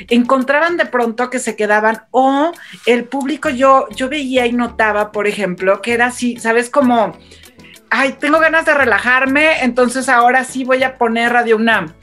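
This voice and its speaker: Chatty higher-voiced Mexican woman. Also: moderate pace, fairly bright tone, faint music behind. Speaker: radio presenter, panel guest. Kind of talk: conversational panel talk.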